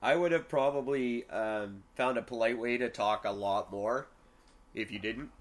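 A man's voice: mid-range voice, normal speed. A young man chatting casually on a podcast, brash and self-mocking, swearing freely. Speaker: podcast co-host.

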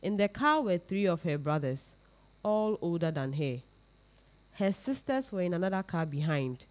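A woman's voice: lowish voice, very animated, moderate pace, darkish tone. A woman reading literary fiction aloud as a scripted narration. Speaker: reader.

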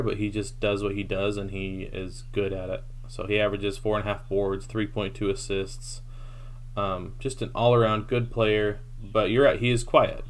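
A man's voice: fairly low pitch, a little hiss or hum. A man co-hosting a casual basketball podcast, steadily reeling off stats with frequent ums. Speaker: podcast co-host. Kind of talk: casual sports talk.

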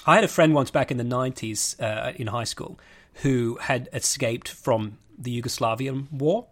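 A man co-hosting a casual podcast chat, swearing freely and telling stories with animated quoted voices. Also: mid-range voice. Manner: swearing, quick to react, animated storytelling.

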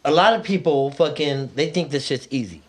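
A man hosting a casual podcast chat, laid-back and swearing freely, with lots of quick agreement. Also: fairly high pitch. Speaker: podcast host.

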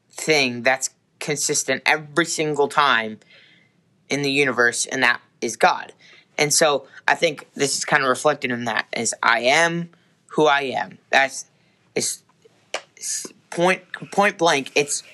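A man chatting on a casual faith podcast, his bright voice a little hoarse. He rambles, restarts sentences and fills pauses with "um.". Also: a little high. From United States. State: slightly hoarse. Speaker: podcast co-host.

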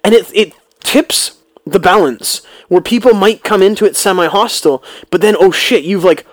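A man's voice: high-pitched, moderate pace, no echo. A man in casual podcast chat who talks bluntly and swears casually. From rural upbringing.

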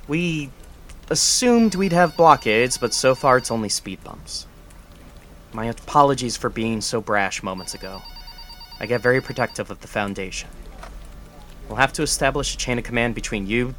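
Man voicing a stern formal foundation boss, bright voice, faint hiss. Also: mid-range voice, lightly acted. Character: male foundation director, stern, protective. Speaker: foundation head. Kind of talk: audio drama acting.

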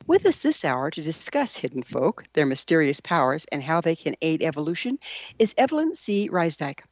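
A woman's voice: low voice, no room sound, dull audio. A woman hosting a radio interview show, moving between scripted introductions and questions to a guest.

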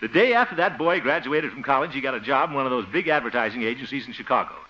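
An actor playing a good-natured, flustered middle-aged bachelor in a 1950 radio comedy, chuckling, stammering and muttering asides. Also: male voice, medium pitch, lively intonation, fast talker, close to an everyday voice. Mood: genial. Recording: muffled old radio recording.

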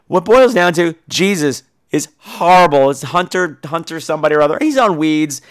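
A man hosting a podcast in a casual monologue, telling stories in a theatrical, catty and blunt way. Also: on the high side. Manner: theatrical, catty, animated storytelling; profane, blunt.

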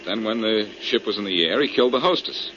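Lively, self-assured radio detective. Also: male voice, fairly low pitch, fast. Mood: explaining. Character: cool, clever male amateur detective hero. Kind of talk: 1940s radio crime drama acting. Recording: muffled, noisy old-time radio recording.

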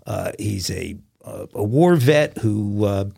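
A man's voice: on the low side, expressive, slow pace, gravelly, dark tone, husky. A man co-hosting a crime podcast, talking through a story with hesitant fillers. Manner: hesitant, many fillers while explaining. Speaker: podcast co-host. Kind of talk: casual news chat.

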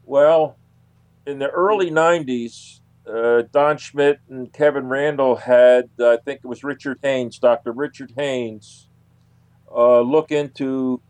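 A man explaining as an interview guest, unscripted and halting, searching for words and digressing as he goes. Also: medium pitch, steady tone, a little mellow, smooth.

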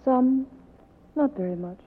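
An actress playing a seductive, evasive femme fatale with a coaxing, dramatic manner in a 1940s radio drama. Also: female voice, sits high, unhurried. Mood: resigned. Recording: tinny vintage 1940s radio recording.

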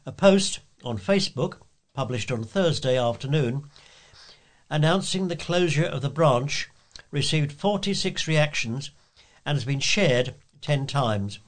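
A man's voice: fairly high pitch, animated, unhurried. A man reading scripted local news items aloud as a volunteer news reader.

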